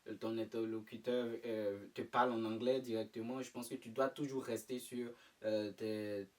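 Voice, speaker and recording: A man's voice: fairly low pitch, flat delivery, moderate pace, a little mellow, far from the mic. A young man from Côte d'Ivoire, answering interview questions in a casual, hesitant way with many fillers and restarts. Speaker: interview guest.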